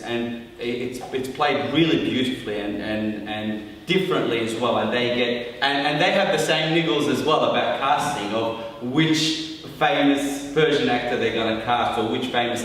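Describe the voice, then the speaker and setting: A man's voice: medium pitch, a bit bright, a little hiss or hum. A man from Iran, a workshop participant, talking thoughtfully in a group discussion with many restarts. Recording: echoey hall from far off.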